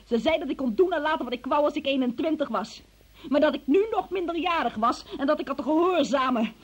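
A woman's voice: high voice, a little fast, natural voice. An actress playing a young female murder suspect in a radio play, self-pitying, evasive and defiant, with a pleading, petulant manner. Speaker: radio drama actor. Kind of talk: emotional Dutch radio play acting.

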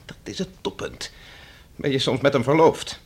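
An actor in a Dutch radio play playing a touchy, wounded husband, ironic and indignant in manner. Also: male voice, mid-range voice, lively intonation, moderate pace, close to an everyday voice. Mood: icy irony.

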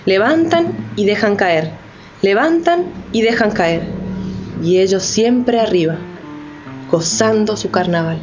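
A woman's voice: medium pitch, lively intonation, slow pace. A woman playing an indignant, sarcastic character in radio theatre, speaking emphatically in rhetorical questions. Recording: slightly dull, music underneath.

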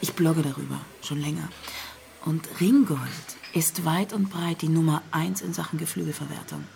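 An actress playing a knowing, cynical blogger in a radio crime drama, creaky-voiced, measured and insinuating. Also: female voice, deep voice, mellow, a little hiss or hum. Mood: matter-of-fact. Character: knowing, cynical woman blogger informing police.

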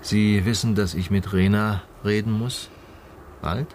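An actor playing a sober investigator, asking measured, formal questions in a naturalistic radio drama. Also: male voice, lowish voice, steady tone, darkish tone, a little hiss or hum, close to an everyday voice. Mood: probing. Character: male investigator, sober and probing.

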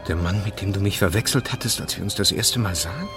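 An actor playing an earnest, emotional young French Egyptologist in a German radio play. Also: male voice, fairly low pitch, fast, over music, natural voice. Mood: tender, smitten.

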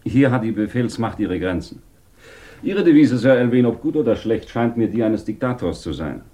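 An actor playing an upright, disciplined army pilot in a 1950s German radio drama, frank and formal. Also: male voice, mid-range voice, lively intonation, moderate pace, warm tone, close to an everyday voice. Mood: firm.

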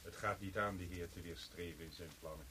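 Man playing a deep, sanctimonious priest. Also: fairly even intonation, brisk, close to an everyday voice. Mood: stern, threatening. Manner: solemn, unctuous, pompous. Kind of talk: radio play, preaching. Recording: noisy old radio recording.

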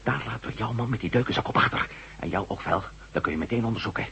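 A man's voice: fairly low pitch, fast, creaky, sharp tone, slight background noise. A man acting a level-headed, inquisitive reporter and former astronaut in a Dutch radio drama. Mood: decisive.

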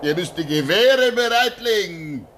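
Older consoling expedition elder, unhurried, highly varied pitch, earnest, devout, radio drama, slight noise. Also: male voice, lively intonation, a bit bright. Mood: reassuring. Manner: soothing, earnest, admonishing. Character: pious, consoling fatherly older polar researcher. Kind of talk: German radio play acting.

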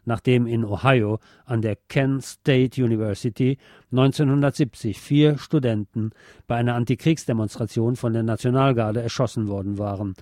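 A man's voice: mid-range voice, steady tone, normal speed, a little mellow, no room sound, studio quality. A man reading a scripted political and music commentary as a presenter.

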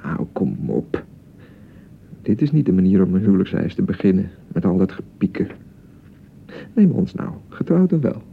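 An actor in a radio play playing an awkward young bridegroom eager to reassure, halting and repeating himself, with a Netherlands Dutch accent. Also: male voice, low-pitched, big pitch swings, vocal fry, slight background noise, heavily acted. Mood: insistent, reassuring. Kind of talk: naturalistic radio play dialogue. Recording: thin phone-like radio broadcast sound.

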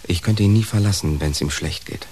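A man's voice: deep voice, fairly quick, a little mellow, slight background noise. An actor playing a young, devoted but resigned companion in a radio drama, wry and wistful in his delivery. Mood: resigned devotion. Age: young adult.

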